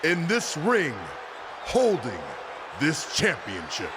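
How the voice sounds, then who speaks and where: Over crowd noise in an arena, a menacing video game wrestling champion delivers a boastful promo. His slightly high voice swings widely as he makes deliberate, arrogant threats. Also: huge pitch swings, heavily acted. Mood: smug, boastful. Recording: noisy arena with crowd behind.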